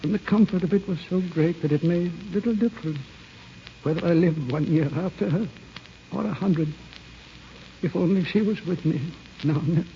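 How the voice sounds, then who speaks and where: An actor playing a stern old uncle haunted by lost love, speaking solemnly and haltingly in old-time radio style. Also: male voice, fairly high pitch, slight background noise, lightly acted. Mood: wistful, solemn. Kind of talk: 1940s radio acting. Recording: muffled old radio recording.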